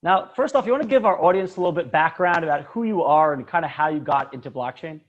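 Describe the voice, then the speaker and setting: A man's voice: on the high side, quick pace, dull audio. A man hosting a casual interview, upbeat and friendly as he asks the guest questions. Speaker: interview host.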